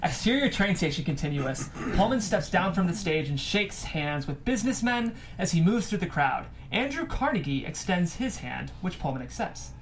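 A narrator reads screenplay stage directions at a table read. The voice is mid-pitched, with faint noise from the room behind it. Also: sing-song, normal speed, few pauses.

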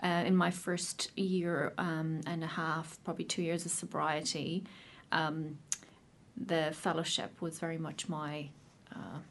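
A woman with an Irish accent, probably in her thirties, sharing her personal recovery story in a casual, hesitant way with many pauses and restarts. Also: low-pitched, little pitch movement, a little hiss or hum. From Ireland.

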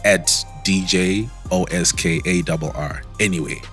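A man, a podcast guest and DJ, chatting casually in a relaxed, rambling way that often stumbles over words. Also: sits low, unhurried, faint music behind. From Zimbabwe. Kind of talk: casual chat.

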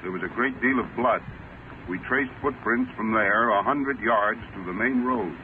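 Man doing dutiful agent reporting in, lowish voice, clipped and official, tinny old radio show. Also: moderate pace, lightly acted. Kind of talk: old-time radio drama acting. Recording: tinny vintage radio recording, light noise.

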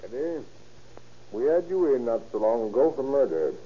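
An actor playing a patient, sardonic police officer who leans on an informant in a 1930s radio crime drama. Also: male voice, mid-range voice, very animated, moderate pace, noisy background, close to an everyday voice. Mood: sardonic, pressuring. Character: smooth-talking, needling police officer leaning on an informant. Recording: muffled 1930s radio recording.

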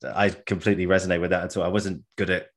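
Male podcast host, very low voice, short affirmations and keen follow-up questions. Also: level tone, quick pace. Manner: warm, brief affirmations and eager questions. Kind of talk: podcast interview.

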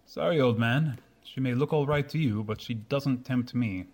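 A man playing a proud, reserved young gentleman in a radio drama, speaking formally. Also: mid-range voice, normal speed, natural voice. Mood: haughty. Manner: formal, restrained. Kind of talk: old-fashioned radio drama acting.

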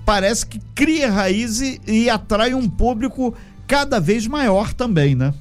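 A man with a notably high voice hosts a radio interview. He talks in a chatty, promotional way, pitching events to listeners. Faint music plays in the background. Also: expressive, slow. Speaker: radio host.

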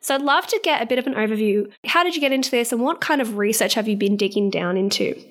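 A woman interviewing a guest, warm and affirming, asking long framing questions. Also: a little high, fast talker. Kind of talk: conversational interview.

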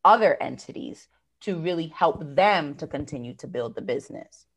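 A woman's voice: low-pitched, animated, normal speed. An American woman business owner talking through her work in an interview, thoughtful, with many fillers and restarts. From United States. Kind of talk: conversational interview.

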